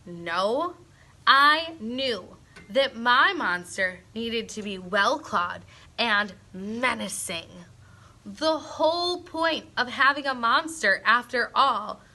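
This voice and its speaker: A woman reading a storybook aloud to young children as a teacher would, expressively and deliberately. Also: high-pitched, bright, forceful tone.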